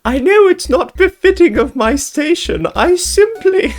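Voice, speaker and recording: A woman's voice: extremely high pitch, big pitch swings, unhurried, soft background music, pitched above the performer's own voice, exaggerated voice. A woman voicing a flustered, anxious royal advisor in a visual novel, formal and deferential. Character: flustered, anxious chess bishop, the Queen's devoted advisor.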